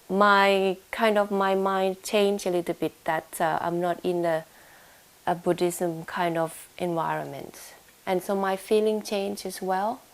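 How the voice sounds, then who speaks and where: A young woman from Thailand with a Thai accent, answering interview questions with frequent fillers and restarts. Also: medium pitch. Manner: hesitant, many fillers and restarts. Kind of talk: casual oral history interview. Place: home room in London.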